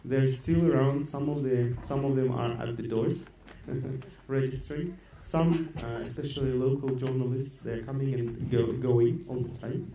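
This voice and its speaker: A man's voice: mid-range voice, steady tone, measured pace, creaky, dark tone. A man from Kazakhstan, an executive at the host company, giving housekeeping notes at a seminar, hesitant with many fillers and repeated words. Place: conference hall. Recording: echoey hall, far from the mic, light noise.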